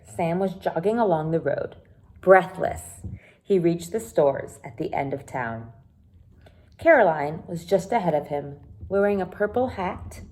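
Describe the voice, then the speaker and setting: A woman with a slightly low voice reads to children as their teacher. Her pitch moves in a very lively way, and she leans on words for dramatic emphasis. She explains things in simple terms, speaking directly to young listeners. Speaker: elementary teacher. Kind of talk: children's read-aloud lesson with explanations.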